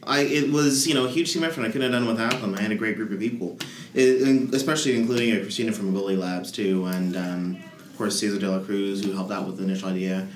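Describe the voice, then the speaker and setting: A man's voice: on the low side, fast talker, echoey room. A man, a guest, telling at length and with enthusiasm about his team's hackathon project in a casual podcast chat. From Denver area.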